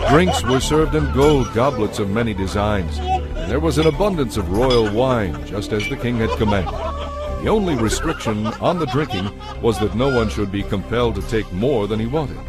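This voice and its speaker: A man narrating a dramatized audio Bible in a scripted, storytelling style. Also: fairly low pitch. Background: music.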